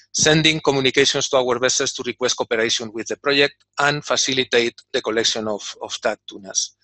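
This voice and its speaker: A man's voice: medium pitch, sharp tone, no echo. A man who speaks as his gives a prepared conference keynote over a video call, with many fillers and repeated words. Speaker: industry representative giving a keynote. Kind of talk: prepared conference talk read from a script.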